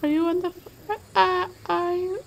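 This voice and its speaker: A man voicing a gentle, curious young anime girl in a thin falsetto for a visual novel reading. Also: level tone, a little slow, gravelly, slight background noise, exaggerated voice.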